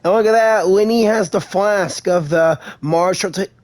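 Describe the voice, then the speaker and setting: A woman's voice: mid-range voice, unhurried. A woman giving casual, reactive commentary on a video game she is playing. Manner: expressive, reactive. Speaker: gamer commenting on a playthrough. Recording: game music behind the voice.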